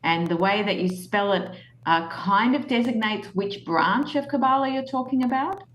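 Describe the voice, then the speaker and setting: An Australian woman, a guest author, speaking thoughtfully in an interview with many pauses and fillers. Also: a little high, fairly bright tone. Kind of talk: podcast interview. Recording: echoey room with faint background noise.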